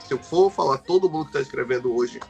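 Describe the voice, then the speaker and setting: A man's voice: medium pitch, fast, vocal fry, darkish tone, soft background music. A Brazilian man speaking Portuguese with a Brazilian accent as a podcast guest, talking with frequent fillers and restarts. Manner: hesitant, many restarts and fillers. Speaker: guest researcher. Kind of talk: podcast interview.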